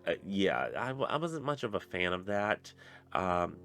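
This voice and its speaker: Young man, very deep voice, congested, casual rambling book chat over soft guitar. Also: sing-song, unhurried. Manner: rambling, many fillers and restarts. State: stuffy nose. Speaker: book reviewer on a pagan channel. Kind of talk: casual spoken book review.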